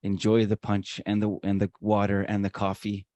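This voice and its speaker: A man's voice: fairly low pitch, completely flat delivery, moderate pace, darkish tone, no echo. A man co-hosting a conversational interview podcast, talkative and emphatic, with promotional asides. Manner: talkative, emphatic, self-described showman. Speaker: podcast co-host.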